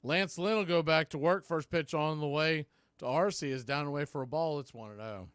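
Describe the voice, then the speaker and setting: A man's voice: fairly high pitch, brisk, a bit bright, clear, resonant tone, no echo, studio quality. A man doing live radio play-by-play of a baseball game as the announcer, in short clipped phrases. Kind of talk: live radio sports commentary.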